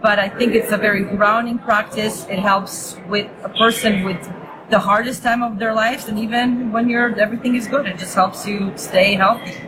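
A woman's voice: mid-range voice, fairly even intonation, normal speed, crowd noise, low-quality recording. A woman raised in Israel, a native Hebrew speaker, chatting casually as a yoga teacher in an interview, with many fillers and restarts.

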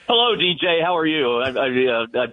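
A man calling in to a sports radio show, excitable and rambling, laughing often, his voice climbing when he gets worked up. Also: a little high, fairly quick, phone-quality sound. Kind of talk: casual sports radio chat. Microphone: phone or remote line from a hotel room.

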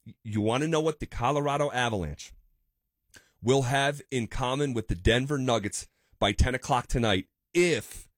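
Analytical sports radio host, dry studio. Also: male voice, medium pitch, moderate pace, no echo. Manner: analytical, builds points with frequent restarts. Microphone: studio microphone.

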